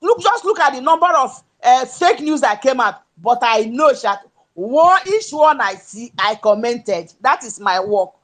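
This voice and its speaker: A Nigerian woman giving an impassioned political speech in an audio chat room, emphatic and at times shouting. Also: high voice, animated, a little slow. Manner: emphatic, rises to shouts, pidgin-tinged. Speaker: speaker in a political audio space.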